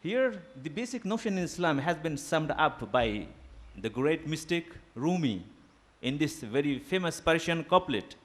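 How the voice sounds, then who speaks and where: A man whose first language is Urdu, giving a formal seminar lecture in a deliberate academic manner, pausing with fillers. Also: a little high, very animated, a bit bright. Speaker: guest lecturer. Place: seminar hall.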